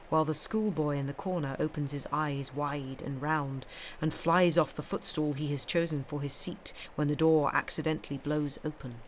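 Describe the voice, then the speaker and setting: A woman's voice: sits low, a little hiss or hum, low-quality recording. A woman hosting a live stream of festive readings from home, speaking into a streaming microphone. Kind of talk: expressive literary reading aloud; casual live-stream chat.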